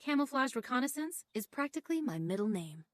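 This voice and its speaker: A woman's voice: high-pitched, very animated, a little fast, dry recording, clean studio sound. An actress playing a witty, wordy young female engineer adventurer in a fantasy video game, with an arch manner. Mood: arch, self-assured.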